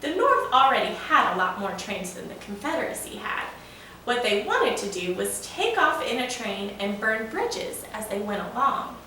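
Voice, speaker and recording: A proud, folksy old steam engine from a children's storybook, played by a woman, eagerly spins a tale. The delivery is animated and fairly high-pitched. It sounds distant in a very reverberant room. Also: normal speed. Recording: echoey room, distant mic, faint noise.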